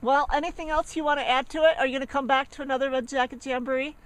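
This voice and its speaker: A woman's voice: high-pitched, little pitch movement, racing, low-quality recording. A woman who hosts a show, chatting casually as she does an impromptu interview. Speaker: show host interviewing a guest.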